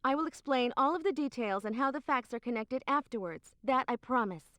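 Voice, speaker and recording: A woman's voice: high voice, animated, fairly quick, a bit bright. An actress playing a composed, secretive young woman in a video game cutscene, with a cool, matter-of-fact delivery. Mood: calm, serious. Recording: roomy, faint game music behind.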